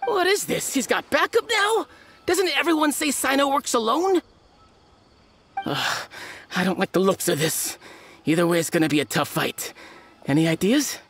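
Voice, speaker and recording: A jittery smuggler in a video game. The mid-pitched voice is curt and impatient, moving around a lot in pitch. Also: sing-song, normal speed, a bit bright, slight background noise. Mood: alarmed.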